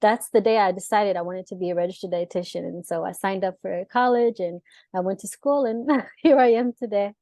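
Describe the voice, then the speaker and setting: A young adult woman, born in the US and raised in Israel, chatting as a dietitian guest in a lively way, full of fillers and laughs. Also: medium pitch, a little fast, dry recording, clean studio sound. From born in the US, grew up in Israel. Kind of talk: casual interview talk.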